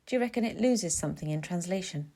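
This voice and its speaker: A woman's voice: fairly low pitch, lively intonation, fast talker, mellow. An eager, awkward young man, played by a woman narrator, bookish and nervous in a storybook dialogue. His sentences tumble into each other as he rambles on. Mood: eager, curious. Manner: rambling, sentences running into one another.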